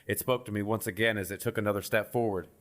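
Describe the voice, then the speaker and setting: A man's voice: lowish voice, fairly even intonation, quick pace. A man reading a scripted first-person creepypasta as a horror story narrator. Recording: music behind the voice.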